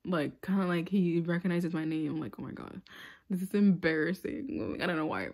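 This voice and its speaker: A young woman from Tanzania chats into a phone held close in a small room, winding through a personal story with endless "like"s and restarts. Her voice sits a little low for a woman, and she speaks in a relaxed, offhand way. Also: a little fast. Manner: rambling, lots of "like" fillers. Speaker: vlogger. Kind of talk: casual storytime chat.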